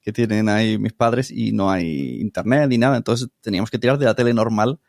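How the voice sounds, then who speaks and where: A man's voice: fairly low pitch, a little fast, creaky, a little mellow, no echo, clean studio sound. A middle-aged man with an accent from Spain, talking casually and informally as an interview guest, explaining with examples. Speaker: podcast producer, interview guest.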